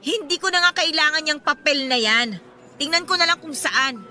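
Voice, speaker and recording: Sharp, high-voiced, indignant single mother. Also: moderate pace, soft background music. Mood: curt, resigned. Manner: sarcastic, confrontational. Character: indignant, sarcastic single mother, long-wronged stepsister. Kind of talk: Filipino radio drama acting.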